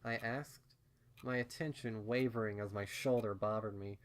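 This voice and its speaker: A man reading a first-person fan fiction story as narrator, in a measured, subdued manner. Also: on the low side, fairly even intonation.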